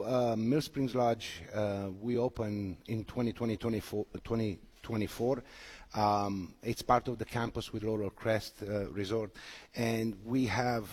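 A man from Romania answering interview questions as a resort representative, speaking haltingly with frequent hesitations. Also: on the low side, darkish tone, husky. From Romania (Transylvania). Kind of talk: unscripted radio interview answers.

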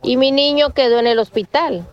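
A woman's voice: high voice, normal speed. Woman on a muffled voice message. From Mexico. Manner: frank, earthy storyteller. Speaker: caller. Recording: dull lo-fi voice message.

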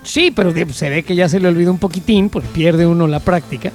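Mexican male co-host, high-pitched, rowdy slangy banter. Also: fairly quick, soft background music. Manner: loud, joking, slangy, laughs a lot. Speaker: show host. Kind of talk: casual comedic chat.

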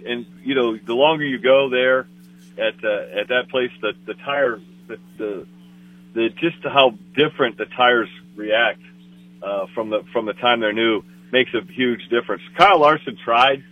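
A man co-hosting a motorsport talk show, speaking haltingly with many fillers and repeated words. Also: medium pitch. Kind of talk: casual motorsport chat. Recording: tinny phone line.

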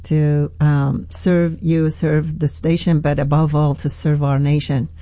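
A woman from Iran with an Iranian accent, a pastor telling her story in a radio interview, earnest and halting with many restarts. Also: low-pitched, level tone, dark tone, no room sound, studio quality. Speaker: pastor, guest.

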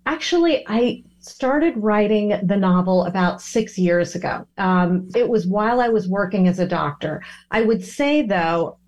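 A woman's voice: medium pitch, animated, unhurried, hardly any pauses, darkish tone, rough recording. A middle-aged woman from California with an American accent, talking conversationally as an interview guest. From California, United States. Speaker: interview guest, doctor and novelist.

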